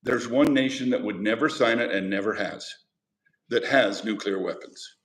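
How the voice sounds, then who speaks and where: A man's voice: lowish voice, normal speed, a bit bright. A man teaching a history class, lecturing in a conversational way and putting questions to his students. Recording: echoey classroom.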